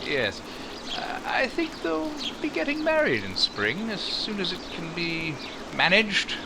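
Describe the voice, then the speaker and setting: Man playing a stiff, proud, halting gentleman. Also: high-pitched, big pitch swings, creaky, noisy background, exaggerated voice. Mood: stiff, reserved. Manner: formal, hesitant, halting. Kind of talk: 1940s radio romance acting.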